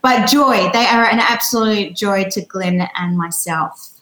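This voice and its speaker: A woman's voice: medium pitch, measured pace. Australian woman with an echoey sound. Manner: warm, hesitant, many fillers and restarts. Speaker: guest. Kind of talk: casual interview chat.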